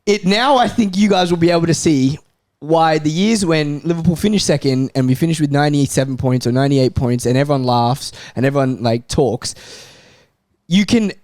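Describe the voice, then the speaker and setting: A man's voice: fairly high pitch, fairly quick, darkish tone, no echo. A man arguing casually as a football panelist, insistent and repeating points for emphasis. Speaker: panelist on a football fan show. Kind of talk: casual chat, football debate.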